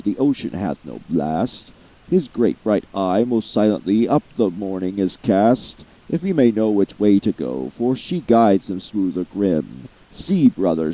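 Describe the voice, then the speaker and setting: A soft-spoken male spirit of the air, put on by a man, answers in a gentle, measured storybook voice. The tone is husky and low, and the recording is dull and lo-fi. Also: big pitch swings, heavily acted.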